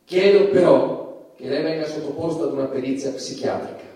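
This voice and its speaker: A man playing a stern, formal judge in a theatrical courtroom drama, speaking with measured emphasis. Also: a little high, mellow, a little hiss or hum, close to an everyday voice. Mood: solemn. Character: stern, formal male judge presiding over a courtroom trial. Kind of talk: theatrical stage courtroom acting. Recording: echoey stage, far from the mic.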